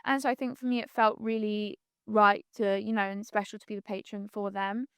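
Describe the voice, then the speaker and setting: A woman's voice: mid-range voice, level tone, brisk, clean tone, no echo, clean studio sound. A woman, talking reflectively as a podcast guest, full of likes and you-knows. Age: 20s. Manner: chatty, reflective, many "like"s and "you know"s. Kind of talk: casual conversational interview.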